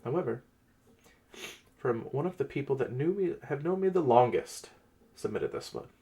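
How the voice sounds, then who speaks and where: A man hosting and narrating fantasy stories as a game master, theatrical, with weighted pauses. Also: mid-range voice, lively intonation, gravelly, warm tone. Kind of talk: dramatic reading and channel presenting.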